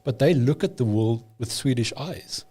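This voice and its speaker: Man, Afrikaans speaker, mellow tone, emphatic, opinionated, podcast guest. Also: mid-range voice, lively intonation, normal speed, breathy edge. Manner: emphatic, opinionated, frequent restarts. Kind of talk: casual conversation.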